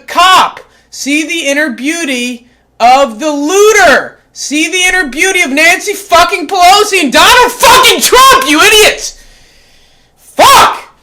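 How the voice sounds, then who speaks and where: A performer voicing a short-tempered, foul-mouthed cat who reads a spiritual book aloud, going from calm, measured reading to yelled, swearing rants. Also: high voice, full character voice. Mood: ranting.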